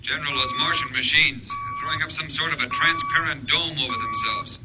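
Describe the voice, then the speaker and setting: A panicked forward observer. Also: male voice, fairly high pitch, wildly swooping pitch, a little fast, muffled recording. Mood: alarmed. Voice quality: urgent. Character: tense male soldier at an observation post. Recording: noisy vintage radio broadcast.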